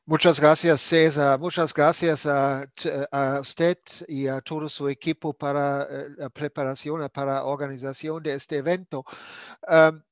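A man's voice: on the high side, little pitch movement, no echo. Give me an older non-native man with a cold, hesitant and full of restarts, dry close sound. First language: not Spanish. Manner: halting, many fillers and restarts. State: has a cold. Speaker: scientist speaking at a webinar. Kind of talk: webinar presentation. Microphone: video call.

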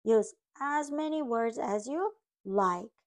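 A woman's voice: a little high, lively intonation, takes it slowly. Woman as a polite panda police officer. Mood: courteous.

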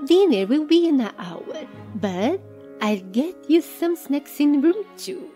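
A woman playing a hospitable middle-aged hobbit lady in a fantasy audio drama, gracious and unhurried. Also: high voice, big pitch swings, mellow, soft background music, exaggerated voice.

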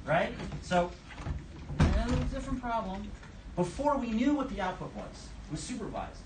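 A man's voice: high-pitched, lively intonation, normal speed. A middle-aged man with a New York accent giving a blunt, joking talk to a conference audience, recorded in a room with a projector. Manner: blunt, joking, self-deprecating, gestures broadly. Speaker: speaker at a conference session. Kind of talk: casual live lecture. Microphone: camera at the back of the room, no mic. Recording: roomy, distant, noisy room recording.

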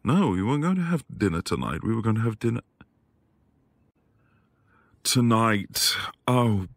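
A man acting a quiet, tender professor husband in an intimate boyfriend ASMR roleplay, soft-spoken and hesitant. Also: medium pitch, breathy edge, no echo, full character voice. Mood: surprised, apologetic. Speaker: audio roleplay voice actor.

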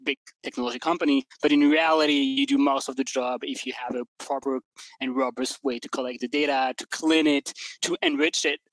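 A man on a remote tech panel, talking things through informally in a middling pitch, close on his headset. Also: steady tone, fairly quick, a bit bright, dry recording, rough recording. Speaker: panelist, AI research executive. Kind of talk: conversational expert explanation. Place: dim indoor room, video call. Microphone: headset boom mic.

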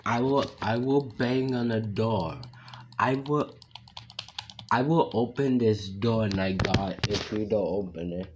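A man voicing a sinister female animatronic chicken mascot, speaking slowly and stuttering on his threats. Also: lowish voice, background noise. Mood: taunting. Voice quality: stutters on words, drawn out. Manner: slow, taunting delivery. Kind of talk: fan-made game character voice lines. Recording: loud keyboard typing and clicks behind. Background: keyboard typing and clicks.

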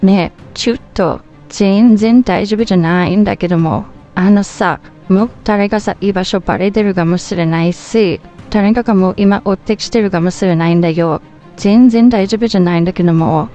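A woman playing a sharp, suspicious young hacker in a comedic voice drama, speaking in Tsugaru dialect in a blunt, scolding manner. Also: medium pitch, moderate pace, soft background music, natural voice. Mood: exasperated.

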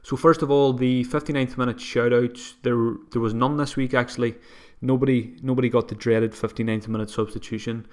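Flat-voiced man with a cold. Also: medium pitch, a little fast, hardly any pauses. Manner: conversational, self-deprecating, frequent restarts. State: sniffly with a cold. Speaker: podcast host. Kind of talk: solo fantasy football podcast.

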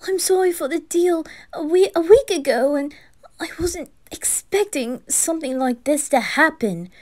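A woman's voice: high voice, animated, slow pace, mellow, voice pushed up. A woman voicing a fragile teenage boy in an anime fan roleplay, emotional, pleading and faltering.

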